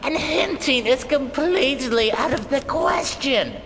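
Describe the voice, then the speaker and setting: A woman's voice: high voice, expressive, a little slow, fairly bright tone, a little hiss or hum. A woman acting in a radio play as an anxious but defiant captive who argues in precise, formal logic. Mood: flustered. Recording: long reverb, music behind. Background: music.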